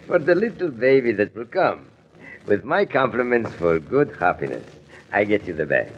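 An actor playing a generous, effusive fruit seller with simple old-world phrasing in an old-time radio drama. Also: male voice, on the low side, very animated, normal speed. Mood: generous, tender. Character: kindly, generous male fruit seller, eager to please. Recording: noisy, dull old radio recording.

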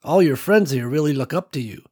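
A warm, wise old woman who has worked her land for decades, as a male narrator puts her on. She talks in an unhurried, folksy way, full of endearments, in a voice lifted somewhat above his own. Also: fairly high pitch, animated, slightly rough, pitched above the performer's own voice. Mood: gentle. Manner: gentle folksy drawl with endearments.

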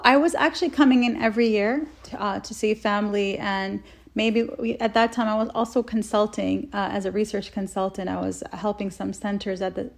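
A woman from Saudi Arabia giving earnest, wordy, enthusiastic answers as an interview guest in a conversational style. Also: mid-range voice. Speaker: interview guest, health researcher.

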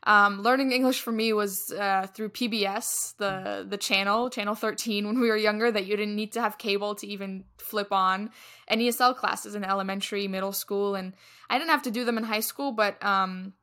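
A woman's voice: medium pitch, fairly even intonation, moderate pace, sharp tone. A young woman from Egypt co-hosting a podcast, chatting casually and poking fun at herself. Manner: chatty, self-deprecating, laughs while talking. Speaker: podcast co-host.

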